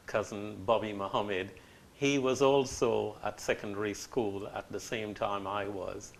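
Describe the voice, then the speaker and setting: An older man, answering as a guest in an interview and telling his story in a measured, reflective way. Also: on the low side, gravelly, a little hiss or hum, low-quality recording. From Trinidad.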